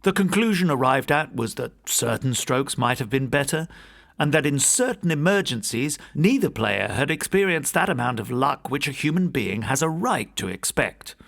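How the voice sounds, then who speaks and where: A man narrating a classic ghost story as a scripted audiobook, in a measured, literary storytelling manner. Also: medium pitch, big pitch swings, gravelly, a bit bright.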